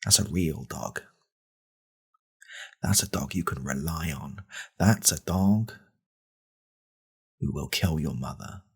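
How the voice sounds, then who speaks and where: A man playing an angry, pompous right-wing podcast host in a deadpan parody monologue, with a deliberate, conspiratorial manner. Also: exaggerated voice. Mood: brooding anger. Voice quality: very deep, gravelly, husky.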